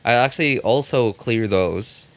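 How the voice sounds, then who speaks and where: A man chatting casually as a game livestreamer, thinking aloud in a halting way and often repeating himself. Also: mid-range voice, a bit bright, dry recording.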